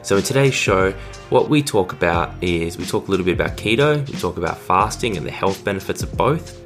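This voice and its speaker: A hoarse man with a cold, a podcast host giving an upbeat introduction and speaking directly to listeners. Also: sits low. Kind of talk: scripted show intro. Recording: music bed under voice.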